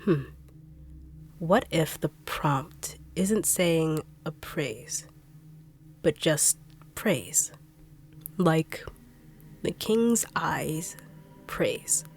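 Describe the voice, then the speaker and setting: A young woman voicing a blunt, sharp-tongued treasure-hunting bodyguard in an audio roleplay drama, brusque and mocking. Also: sits low, lively intonation, drawn-out words, long pauses, faint music behind, exaggerated voice. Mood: condescending.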